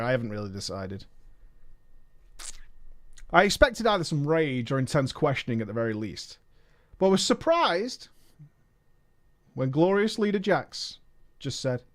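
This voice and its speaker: Guy streamer reading out a chat story, pretty high voice, cuts in with teasing jabs. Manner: emphatic, mocking asides. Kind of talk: reading a viewer's story aloud with commentary.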